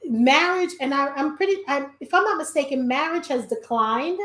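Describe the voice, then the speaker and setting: Higher-voiced woman in an echoey room. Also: moderate pace, fairly bright tone. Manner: rambling, trails off with many restarts. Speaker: podcast co-host. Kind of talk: casual discussion.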